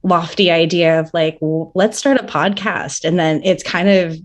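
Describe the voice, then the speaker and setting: A woman's voice: lowish voice, steady tone, normal speed. A young woman co-hosting a podcast, talking reflectively and casually with many fillers. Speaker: podcast co-host.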